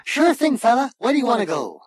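Squeaky, gushing ship's computer. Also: male voice, wildly swooping pitch, fairly quick, slightly rough, no room sound, exaggerated voice. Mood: gushingly cheerful. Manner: gushing, over-familiar, salesman-like. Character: relentlessly chirpy, eager-to-please male ship's computer.

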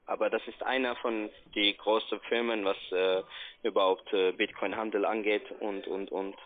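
A man delivers a rambling phone sales pitch in phrasing. The line sounds thin and tinny. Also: little pitch movement, normal speed. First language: not German. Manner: wordy, rambling. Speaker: call center investment agent. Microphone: phone call.

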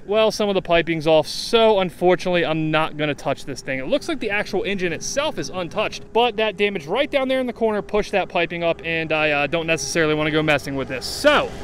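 A man's voice: on the high side, animated, fairly bright tone, slight background noise. A man with a Texan accent walking an outdoor salvage lot, giving wry, candid commentary on wrecked cars. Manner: wry, candid, quick asides. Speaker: salvage car buyer and vlogger. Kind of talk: casual walkaround commentary. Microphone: handheld camera at arm's length.

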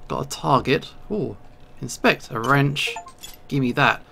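A man giving casual let's play commentary, thinking aloud in short, halting remarks. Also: medium pitch, vocal fry, bright, forceful tone, slight background noise. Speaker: video game player and host.

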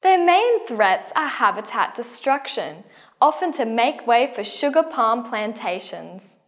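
Young woman, science presenter. High-pitched, very animated intonation, careful scripted delivery. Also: unhurried. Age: young adult. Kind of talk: scripted educational narration to camera. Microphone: lapel.

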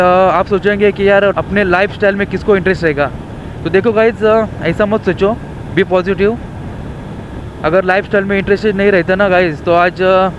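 Young man, high voice, casual rambling motovlog, dull helmet-cam audio, light road noise. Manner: chatty, rambling, mixes English in. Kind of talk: casual chat while riding. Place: on a motorbike on city roads. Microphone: helmet action camera. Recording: dull lo-fi camera audio with faint traffic noise.

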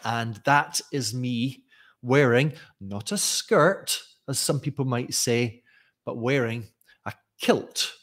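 A man in his forties with a soft East Coast Scottish accent, a teacher trainer telling stories in a live-streamed lesson, chatty and animated with many restarts, at a desk mic in a bright home room. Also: mid-range voice, slow. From Scotland. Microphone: desk mic with headphones.

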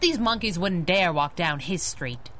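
An actress playing a feisty, protective young crimefighting sidekick with slangy, wisecracking tough talk in a radio drama. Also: female voice, fairly low pitch, animated, unhurried, a bit bright, slight background noise. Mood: angry, frustrated. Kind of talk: old-time radio drama acting.

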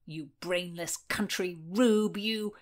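A sour young Tudor lord, acted by a woman narrator, haughty and quick to take offence. He splutters indignantly and curses in the manner of a historical storybook villain. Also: on the low side, a bit bright. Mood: indignant, spluttering. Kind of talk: audiobook character dialogue.

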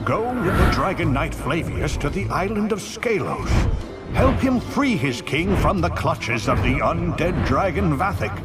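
A man's voice: a little high, sing-song, measured pace. A man voicing a wise old wizard mentor in a fantasy video game, grand, solemn and earnest. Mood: solemn, urging. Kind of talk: epic fantasy game narration. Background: loud music.

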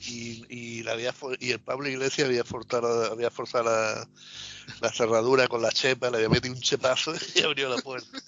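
A man co-hosting a podcast, making deadpan, crude jokes in sarcastic casual chat about a film. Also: mid-range voice, a little fast. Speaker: podcast co-host. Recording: dull lo-fi podcast audio.

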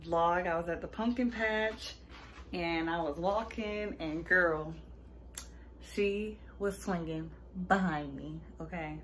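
Young American woman vlogger, slightly low voice, relaxed slow delivery, informal and slangy. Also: slight background noise. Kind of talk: casual chat to camera.

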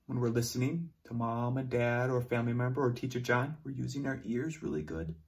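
A young man teaching preschoolers online at a whiteboard, talking slowly and encouragingly in a sing-song way, moving toward and away from the camera. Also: medium pitch, a little mellow. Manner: slow, sing-song, encouraging teacher talk to small children. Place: classroom at a whiteboard. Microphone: camera close up, moving away to write.

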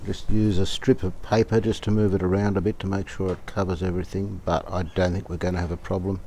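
An older man calmly talking through a restoration job outdoors, thinking aloud as he works. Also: deep voice, a little hiss or hum. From Australia. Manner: thinking aloud, calm and unhurried, plain-spoken with fillers. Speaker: radio restorer, channel host. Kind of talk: casual hands-on workshop narration. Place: outdoor verandah in the garden.

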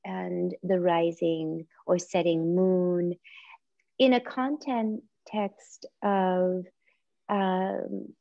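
A woman in her forties whose first language is Japanese, speaking as a visual artist on a video call, soft and reflective with many pauses and restarts. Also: fairly low pitch, fairly even intonation, gravelly, no room sound. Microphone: laptop or phone camera on video call. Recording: muffled lo-fi video call audio.

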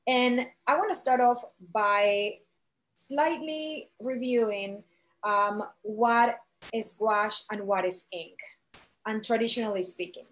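Woman instructor explaining in halting phrases. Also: a little high, a bit bright, low-quality recording. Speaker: art college instructor giving a product demonstration. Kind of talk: live instructional demo, explanatory.

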